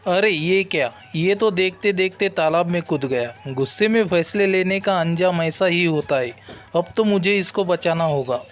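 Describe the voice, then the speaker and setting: A man's voice: high voice, normal speed, natural voice. A man playing a trusting, fretful middle-aged village father in a cartoon story, exclaiming and thinking aloud. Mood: alarmed. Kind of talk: Hindi cartoon moral-story acting. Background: background music.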